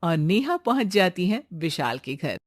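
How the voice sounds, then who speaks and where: A woman's voice: on the low side, very animated, measured pace, dry recording, studio quality. A woman reading scripted storybook narration as the narrator of a cartoon tale.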